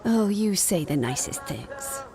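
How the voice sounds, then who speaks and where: A middle-aged woman playing a jaded, curt asylum receptionist, deadpan and sarcastic, in an audio drama. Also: medium pitch, unhurried, music in the background. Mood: dry, sarcastic.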